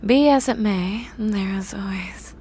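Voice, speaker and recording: A woman's voice: medium pitch, unhurried, warm tone, a little hiss or hum, close to an everyday voice. A woman voicing a grumpy, obsessive young horse-girl scientist in an ASMR roleplay, talking in a precise, scholarly, bossy way. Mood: tired, softening.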